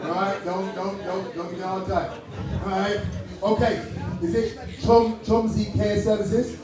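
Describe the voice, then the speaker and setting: A man with a Jamaican accent hosting an awards night, chatty and brisk, joking with the crowd. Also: high voice, flat delivery. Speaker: awards host. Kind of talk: live event compering. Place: large hall at a live event. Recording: echoey hall, taken from far off. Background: noisy crowd.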